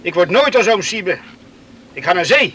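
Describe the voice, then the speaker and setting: A man's voice: high voice, sing-song, moderate pace, fairly bright tone, a little hiss or hum. An actor playing a stubborn, defiant young man in a radio play, blunt and dismissive in manner. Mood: defiant, irritated. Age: young adult.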